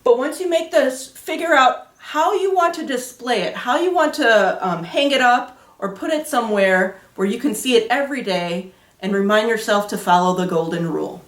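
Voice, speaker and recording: A woman with a fairly high voice teaches children as a Sunday school host. She explains in simple, clear terms. The recording is roomy and echoey, picked up a little away from the microphone. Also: normal speed. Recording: roomy echo, distant microphone.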